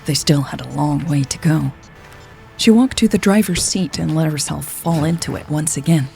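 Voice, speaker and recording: A woman's voice: low-pitched, moderate pace, gravelly, warm tone, soft background music. A woman reading a scripted story as a narrator, voicing the dialogue as she goes. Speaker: audio fiction narrator.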